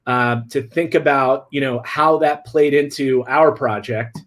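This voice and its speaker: A man's voice: medium pitch, unhurried, a bit bright. A man hosting an online talk stream, upbeat and laid-back, running through housekeeping and introducing a guest. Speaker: host. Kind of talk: casual livestream hosting.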